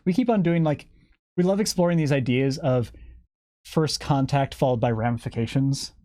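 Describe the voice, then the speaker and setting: A man speaking as a guest game developer in a casual interview, animated and jokey, thinking aloud and slipping into imagined dialogue. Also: mid-range voice, moderate pace, dry recording, clean studio sound. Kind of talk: lively casual interview chat.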